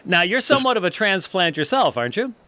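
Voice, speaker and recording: A man's voice: high-pitched, big pitch swings, quick pace, sharp tone. A man in his fifties with an American accent, hosting a casual conversational interview. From United States. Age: 50s. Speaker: interviewer, host. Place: small book-lined indoor studio. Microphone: close studio microphone.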